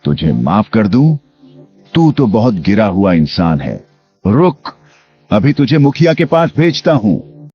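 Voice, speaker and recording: An actor playing the stern, avenging ghost of a murdered village headman in an animated moral story, with a commanding, theatrical delivery. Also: on the low side, slow. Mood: menacing. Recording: dull lo-fi audio. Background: music.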